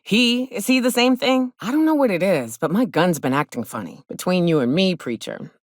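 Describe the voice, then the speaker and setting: Gruff game frontier huntress, low pitch, lively swings, wry, folksy, dry close sound. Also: female voice, moderate pace, no room sound. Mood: uneasy, puzzled, then suspicious, irritated. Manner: blunt, wry, folksy frontier speech with ain't and mite. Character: gruff, hard-drinking frontier woman, hunter and guide for hire. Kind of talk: video game companion dialogue acting.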